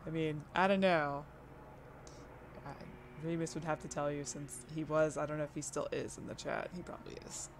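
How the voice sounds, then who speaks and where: A woman with a husky voice chats casually to her viewers during a live art stream. She rambles, trails off mid-thought and starts over, often breaking into laughter. Steady background noise sits under her voice. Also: low voice, moderate pace. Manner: chats to an online audience.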